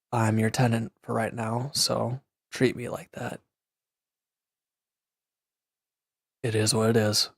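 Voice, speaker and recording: A man's voice: fairly low pitch, no room sound, clean studio sound. A man acting a proud, bitter, wounded villain in an audio roleplay, speaking in a curt, irritable, dismissive way. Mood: curt, aloof. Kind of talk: dramatic roleplay audio monologue.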